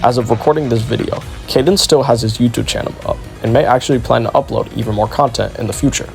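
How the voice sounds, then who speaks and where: A man's voice: mid-range voice, quick pace, vocal fry, a little mellow, soft background music. A man narrating a scripted exposé video as its commentator.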